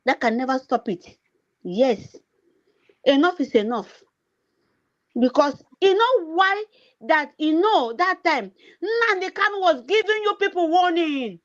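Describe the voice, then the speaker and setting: A woman's voice: very high-pitched, expressive, a little slow. A woman with a Nigerian accent, Igbo by first language, giving an impassioned activist monologue on a livestream, repeating herself and breaking off mid-sentence. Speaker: political livestream host. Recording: dull lo-fi stream audio.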